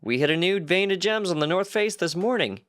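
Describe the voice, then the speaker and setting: A man's voice: high voice, animated, brisk, a bit bright, clear, resonant tone, soft background music, voice pushed up. A man voicing an eager minotaur mine worker, a loyal right-hand man with casual workman's talk, in a fantasy story. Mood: pleased, confident.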